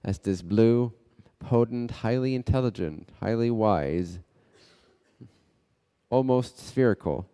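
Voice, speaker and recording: A man leading a guided meditation in a measured, halting way, speaking in short phrases with pauses between them. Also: medium pitch, warm tone, clear, resonant tone. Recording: long hall-like reverb.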